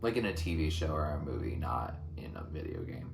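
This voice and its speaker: A man giving casual reaction commentary as a music reaction host, speaking in a reflective, hesitant, halting way in an echoey room. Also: extremely low pitch, big pitch swings, gravelly.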